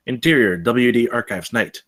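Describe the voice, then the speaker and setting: A man's voice: medium pitch, a little fast, a bit bright. A man narrating the scene headings and stage directions at a screenplay table read.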